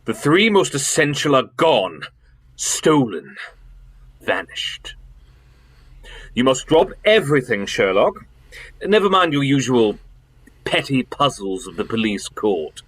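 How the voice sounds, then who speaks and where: An actor playing a pompous, imperious elder-brother government official in a radio drama, with emphatic theatrical delivery. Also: male voice, medium pitch, sing-song, slow pace, pauses often, fairly bright tone, slightly rough, heavily acted. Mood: urgent, haughty.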